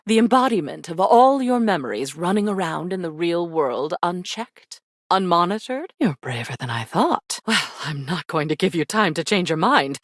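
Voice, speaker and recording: A seductive gothic woman from a video game, coy and darkly affectionate. Her voice sits a little low and swoops dramatically through drawn-out, teasing phrases. It is recorded dry and close, with very little room. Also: fairly bright tone, no room sound, heavily acted. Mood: wry, impatient. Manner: coy, drawling, dramatic, teasing with pet names. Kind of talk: video game character voice acting.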